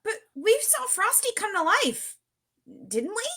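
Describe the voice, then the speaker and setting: A woman's voice: very high voice, big pitch swings, measured pace, fairly bright tone, full character voice. A woman playing an earnest grade-school girl in a live table read of a holiday cartoon. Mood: earnest, pleading.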